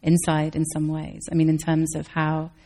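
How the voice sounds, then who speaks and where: Woman Zen teacher, low flat voice with husky fry, thoughtful and searching answers. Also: normal speed. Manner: thoughtful, searching, with restarts and soft laughs. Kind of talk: dharma talk Q&A answers.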